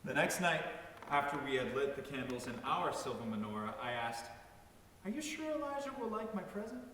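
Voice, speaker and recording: A man's voice: a little high, very animated, brisk, a bit bright, a little hiss or hum. A man reading a story aloud as a dramatic narration. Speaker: reader in a church staff dramatic reading. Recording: very echoey hall, distant mic. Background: music.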